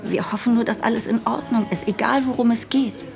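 A woman playing a concerned middle-aged mother in a radio drama, gently coaxing. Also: fairly high pitch, fairly quick, gravelly, a crowd in the background.

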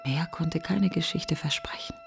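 Hushed, creaky-voiced grieving woman. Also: low voice, whispered, faint music behind. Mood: mournful. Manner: hushed, halting, fragmentary phrases. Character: grieving woman brooding on her dead best friend. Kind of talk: literary radio-play monologue.

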